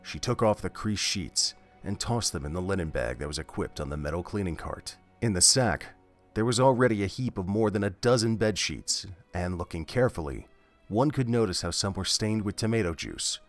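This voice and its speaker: A man narrating a fan audio drama in a measured, expressive storytelling manner. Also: deep voice, faint music behind. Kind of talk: scripted audiobook-style narration.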